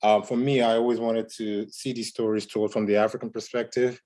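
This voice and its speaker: A man from Nigeria, a comics creator speaking on a panel, measured with many fillers. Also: fairly low pitch, level tone, warm tone. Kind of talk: panel talk on a video call.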